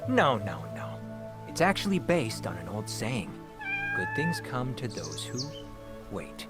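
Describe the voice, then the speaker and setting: A man's voice: medium pitch, huge pitch swings, a little slow. A man playing an idle, philosophical scholar in a video game, an easygoing senior brother with a mock-wise manner. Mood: philosophical, reassuring. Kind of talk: video game character acting. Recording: loud music behind the voice.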